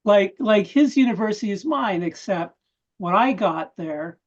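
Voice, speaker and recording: Husky older man on dull audio. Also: high voice, lively intonation, a little slow. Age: 60s. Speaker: guest. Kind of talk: casual chat.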